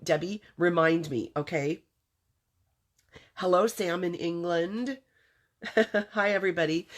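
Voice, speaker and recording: A low-pitched woman from Southern California with a stuffy, congested nose, hosting a live craft demonstration. She chats casually with viewers and greets them one by one, laughing often as she goes. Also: fairly bright tone. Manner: chatty and friendly, laughs often between remarks. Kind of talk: casual livestream chat, greeting viewers by name.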